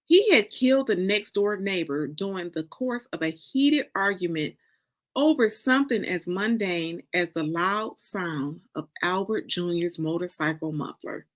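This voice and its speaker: An American woman from Detroit giving a dramatic first-person story reading, with deliberate, expressive phrasing and pauses. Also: lowish voice. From Detroit, United States. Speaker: author reading from a novel.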